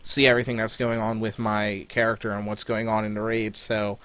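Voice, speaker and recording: A man's voice: fairly low pitch, level tone, a little fast, fairly bright tone, smooth. Guy co-hosting a podcast, just chatting casually and cracking jokes. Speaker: podcast co-host. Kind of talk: casual group chat. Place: voice chat for a live online show.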